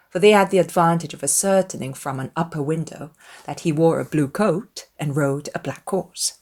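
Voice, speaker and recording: A woman reading a dramatized literary audiobook in an expressive, measured storytelling manner. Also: deep voice. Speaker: audiobook narrator.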